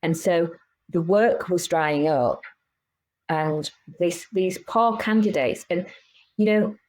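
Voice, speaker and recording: A woman's voice: lowish voice, slow, darkish tone, rough recording. A woman in her fifties, a business owner and podcast guest, talking frankly and animatedly in casual interview chat with many restarts. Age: 50s.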